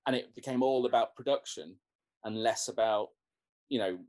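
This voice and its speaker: A man's voice: medium pitch, normal speed, darkish tone. A man, a musician, talking casually in an interview, rambling with many restarts and fillers. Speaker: guest musician.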